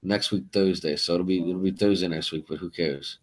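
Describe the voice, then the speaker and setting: A man's voice: deep voice, steady tone, a little fast, husky. A man co-hosting a casual political talk show, arguing emphatically with many restarts. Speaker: co-host.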